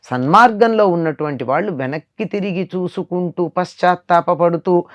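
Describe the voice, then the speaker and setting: A woman's voice: on the low side, moderate pace. An older woman giving a moral discourse in conversation as a spiritual teacher, emphatic and repeating phrases to drive points home.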